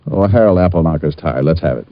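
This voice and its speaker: An actor playing a wisecracking, cool-headed private detective in an old-time radio drama, with a wry, offhand, playful way of talking. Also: male voice, low-pitched, quick pace, lightly acted. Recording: muffled vintage radio broadcast with music.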